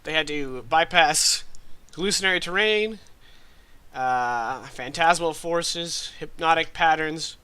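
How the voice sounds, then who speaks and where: A man in his thirties with a nasal, congested voice, running a tabletop game as game master with relaxed, rambling asides. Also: fairly high pitch, measured pace, clean tone. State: congested from allergies.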